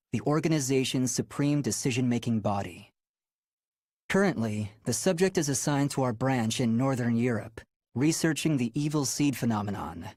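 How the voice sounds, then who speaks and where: An actor playing a dutiful, reserved agent of an organization in a video game, speaking formally and matter-of-factly. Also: male voice, mid-range voice, a little mellow, dry recording, studio quality, natural voice. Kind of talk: scripted report narration in a video game.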